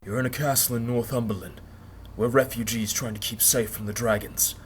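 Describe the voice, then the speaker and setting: A woman voicing a calm, protective male refugee in a story reading, reassuring and plain-spoken. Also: very deep voice, a little fast, a little hiss or hum, voice pushed down.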